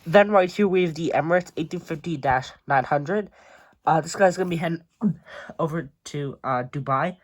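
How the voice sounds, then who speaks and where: A young man with a stuffed-up, congested voice talks casually and a bit high, filling pauses with lots of ums and uhs. He narrates a relaxed video tour as a hobby channel host. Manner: chatty with frequent ums and uhs. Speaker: model airport YouTuber.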